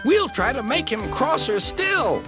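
Shrill, spiteful brake van. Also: male voice, very animated, measured pace, over music, full character voice. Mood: spiteful, sly. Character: spiteful, self-important railway brake van. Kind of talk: children's storybook character voice.

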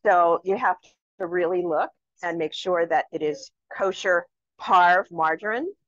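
A woman with a slightly low voice talks viewers through cooking in a chatty, instructive way. The recording is a little dull and lo-fi, with room echo around her. Her style is that of a casual live demonstration. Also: measured pace. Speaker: cooking show host. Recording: echoey room, dull lo-fi sound.

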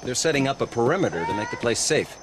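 A man's voice: mid-range voice, sing-song, fast, background noise, lightly acted. An actor playing an earnest, folksy middle-aged American father who poses as a wealthy adventurer. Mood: explaining. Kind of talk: film-style dramatic dialogue in a game.

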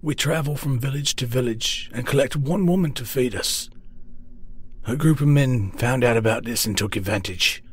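A man voicing a grotesque, remorseful man-eating beast in a fantasy horror story, speaking gravely and in measured phrases. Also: mid-range voice, natural voice. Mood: grave confession. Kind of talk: dramatised fantasy horror audiobook.

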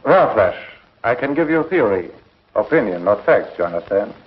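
An actor playing a thoughtful middle-aged scientist who lectures deliberately in a 1950s television space serial. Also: male voice, on the low side, sing-song. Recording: muffled 1950s film soundtrack.

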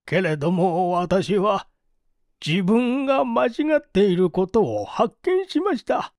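A penitent old man of about sixty, voiced by a male reader in a mystery audio drama. He speaks extremely slowly and haltingly, with solemn, old-fashioned formality. The recording is dry. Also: sits high, big pitch swings, darkish tone, dry recording, heavily acted. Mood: remorseful. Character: anguished, penitent old man over sixty, doting father.

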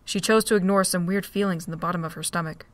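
A woman with a fairly low voice reads the narration of a fan audio drama. The sound is dry and close, with almost no room around it. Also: steady tone, fast, no echo. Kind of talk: scripted narration.

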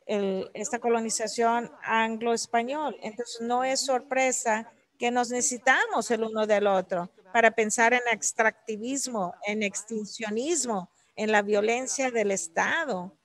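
A woman working as a live interpreter for an academic talk, delivering it in halting, separate phrases. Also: on the high side, steady tone.

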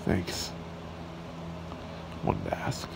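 A man playing a smitten, shy red dragon boyfriend in an ASMR roleplay, speaking in a halting, tender way. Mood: flustered. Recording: steady background hiss or room hum.

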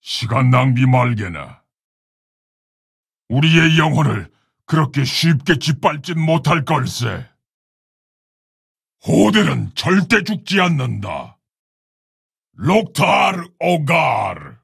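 A man playing a proud, solemn orc warchief in a video game, gravelly and husky, speaking in a commanding, stately, formal way. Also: fairly high pitch, sing-song, slow, long pauses, fairly bright tone, dry recording, studio quality, exaggerated voice. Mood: earnest, measured, then fierce, commanding. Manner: commanding, stately, old-fashioned formal speech. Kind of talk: video game hero voice lines.

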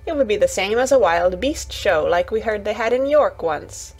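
Woman voicing a cheerful, chatty Yorkshire housemaid, slightly husky. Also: medium pitch, lively intonation, moderate pace. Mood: delighted. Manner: exclamatory, artless, homely dialect storytelling.

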